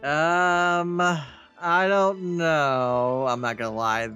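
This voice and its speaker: A woman's voice: sits low, extremely slow, a bit bright, smooth, muffled recording. A woman giving casual, reactive commentary as the host of a video game playthrough. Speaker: let's play host. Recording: game music behind the voice.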